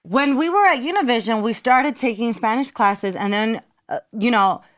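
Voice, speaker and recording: A woman from New Jersey speaks as a guest with a fairly high voice. She tells anecdotes with energy, acting out quoted lines. Her tone stays casual and conversational. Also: normal speed. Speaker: guest, TV and radio personality. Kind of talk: casual chat.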